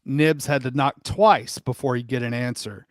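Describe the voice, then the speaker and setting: A man's voice: medium pitch, fairly even intonation, normal speed, no room sound, clean studio sound. A man narrating a comic dramatic storybook reading in a theatrical, irreverent, sweary manner with asides. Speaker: host and narrator.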